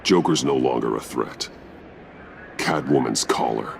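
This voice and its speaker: An actor playing a grim, cold masked vigilante in a video game, terse and stern, with a gravelly growl. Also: male voice, rumbling low, measured pace, slight background noise, heavily acted.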